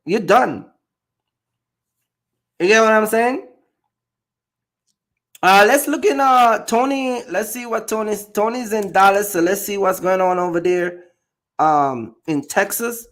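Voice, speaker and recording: A man's voice: sits high, takes it slowly, long pauses, fairly bright tone. A man from Jamaica, a box truck driver chatting loosely on a livestream with many fillers and repeated words. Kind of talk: casual livestream chat.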